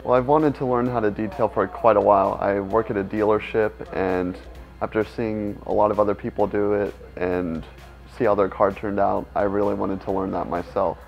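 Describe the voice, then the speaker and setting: A man from Ohio with a low, gravelly voice speaks earnestly and steadily. The recording is a bit dull, with soft music behind him. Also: fairly even intonation, moderate pace. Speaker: auto detailing student. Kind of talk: testimonial interview. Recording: slightly dull, muffled sound. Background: soft background music.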